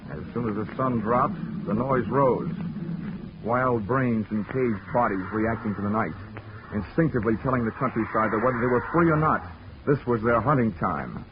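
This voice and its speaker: A man acting a wisecracking, deadpan hard-boiled insurance investigator in a 1940s radio drama. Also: mid-range voice, moderate pace, noisy background, natural voice. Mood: wry narrating. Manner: wry, deadpan. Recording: dull old radio recording.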